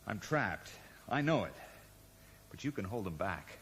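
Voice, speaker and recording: A cold, calculating scientist in a 1980s radio drama. His low voice is measured and smoothly persuasive as he justifies himself. The sound is reverberant with a faint noise behind it. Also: big pitch swings, fairly bright tone, full character voice. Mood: pleading, persuasive. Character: cornered, calculating male research scientist, cold and self-justifying.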